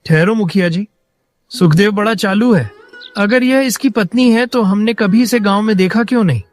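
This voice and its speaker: A man's voice: high voice, normal speed, lightly acted. A man playing a suspicious, accusing villager in a cartoon tale, challenging and insistent.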